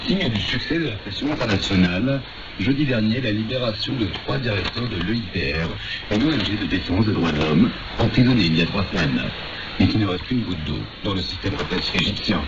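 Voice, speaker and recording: A man's voice: lowish voice, expressive, brisk. A man narrating a scripted report as a radio news correspondent, heard over shortwave. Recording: muffled shortwave radio with loud noise.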